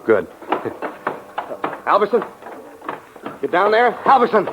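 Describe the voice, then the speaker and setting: A man's voice: high voice, very animated, takes it slowly, exaggerated voice. An actor with an American accent playing an anxious man under suspicion in a 1940s radio drama, urgent, clipped and confiding. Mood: calling out, hurried. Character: anxious man on the run, suspected by police. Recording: muffled, noisy old radio transcription.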